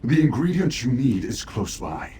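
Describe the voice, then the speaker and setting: An actor playing a despairing but loyal servant of a fallen ruler in a fantasy video game, speaking gravely and formally with urgency. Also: male voice, mid-range voice, big pitch swings, moderate pace, mellow, lightly acted. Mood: grave, resigned. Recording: echoey room, faint background noise.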